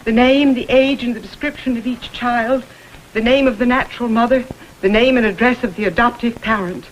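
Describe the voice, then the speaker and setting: An actress playing a guarded, anxious institution director in an old crime drama, speaking formally and earnestly. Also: female voice, a little high, fairly even intonation, a little fast, lightly acted. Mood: uneasy. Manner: formal, earnest, careful wording. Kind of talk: vintage American television crime drama acting. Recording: faintly noisy vintage film soundtrack.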